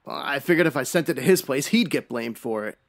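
An actor playing a distraught, evasive, pleading husband suspected of murder in a radio crime drama. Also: male voice, medium pitch, expressive, fast talker, creaky. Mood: desperate, pleading. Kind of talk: old-time radio crime drama acting.

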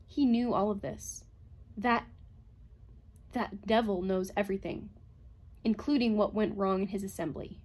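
A woman's voice: mid-range voice, steady tone, measured pace, long pauses, darkish tone, lightly acted. A woman voicing a sardonic, bitter female robot psychologist with a cutting, imperious manner, in a story reading. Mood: cold, cutting.

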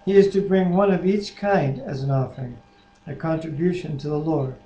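Older man, soft mellow tone set a little high, scripture reading and discussion, roomy webcam sound. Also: moderate pace, highs cut off. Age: 60s or older. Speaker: Bible reader and discussion co-host. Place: living room at home. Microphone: computer webcam mic at desk distance. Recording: roomy, echoey home webcam.

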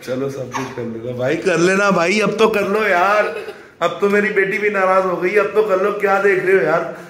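A man in his thirties with a hoarse throat, chatting casually to viewers as a family vlog host. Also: high voice, normal speed, fairly bright tone. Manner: chatty, teasing, addresses viewers directly. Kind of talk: casual vlog talk to camera. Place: at home, indoors. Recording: noisy home recording.

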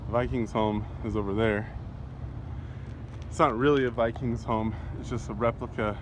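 A man's voice: mid-range voice, fairly even intonation, moderate pace. A man giving casual, offhand, rambling travel vlog narration as he films. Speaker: vlogger. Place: outdoors on and around a lake. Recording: outdoor camera audio, clear wind and water noise.

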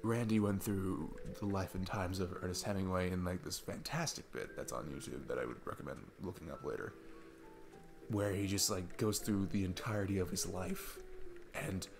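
A young man playing an awkward, chatty single dad on a date in an ASMR roleplay, rambling haltingly and self-deprecatingly. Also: low-pitched. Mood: amused, absorbed. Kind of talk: ASMR boyfriend-style roleplay, casual improvised-sounding chat. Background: background music.

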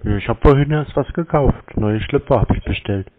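A man in a terse, deadpan phone conversation, giving stubbornly obtuse answers. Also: medium pitch, fairly quick. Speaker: scam-baiter taking calls from telephone scammers. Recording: narrow tinny phone-like sound.

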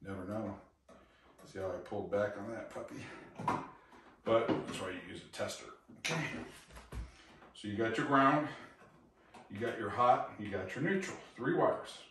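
A man's voice: fairly low pitch, moderate pace, pauses often, fairly bright tone. Folksy older man teaching home repair. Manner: chatty, folksy, keeps checking in with viewers. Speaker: DIY home repair presenter. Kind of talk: casual how-to demonstration. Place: small kitchen indoors. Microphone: camera mic on a tripod, some distance off. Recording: echoey room with clear work noise.

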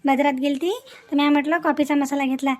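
High-voiced, soothing middle-aged mother played by a woman. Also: fairly even intonation, fast, darkish tone, natural voice. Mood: contented. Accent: Vidarbha Marathi. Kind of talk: cartoon family drama dialogue.

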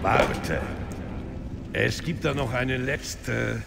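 An actor playing a towering vengeful devil lord in a dramatic video game dub, grandiose, menacing and theatrical. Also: male voice, medium pitch, expressive, extremely slow, gravelly, very noisy, heavily acted. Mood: cold, menacing.

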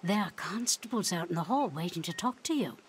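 An actress playing a caring, chatty hospital nurse in a radio drama, soothing and confiding. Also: female voice, on the low side, animated, fairly quick, natural voice. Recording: faint background ambience noise.

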